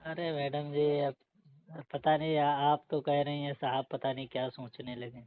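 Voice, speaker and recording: A man's voice: a little high, little pitch movement, normal speed, natural voice. A man playing a humble married village man who works as a city guard, speaking deferentially and hesitantly in a casual staged phone conversation. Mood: bashful, evasive. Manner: deferential, hesitant, plain rustic talk. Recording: dull, lo-fi, dry call-style recording.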